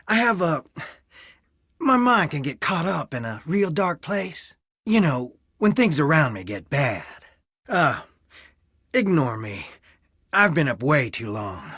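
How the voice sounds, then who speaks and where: An actor playing a weary, guarded young fiancé with a wry, tired manner in a scripted video game. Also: male voice, on the high side, fairly bright tone, no echo, studio quality, full character voice. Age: young adult.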